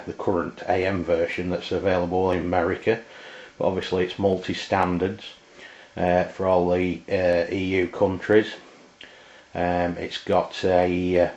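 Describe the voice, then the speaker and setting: English guy reviewing gear off the cuff, slow and halting, dull lo-fi echoey sound. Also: low-pitched, darkish tone. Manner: halting, hesitant, pausing mid-sentence. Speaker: product reviewer. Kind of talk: informal hands-on demonstration, unscripted. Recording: roomy, dull lo-fi camera sound.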